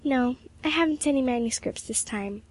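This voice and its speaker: A woman's voice: high voice, moderate pace, no echo, voice pushed up. A woman voicing an earnest, sensitive young heroine of a storybook, with a pleading manner. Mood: mild.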